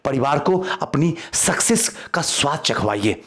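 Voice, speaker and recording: A man's voice: on the high side, expressive, a little slow, fairly bright tone. A man teaching an online quiz lesson as an exam coach, emphatic and repeating key points. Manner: emphatic, repeats questions and answers.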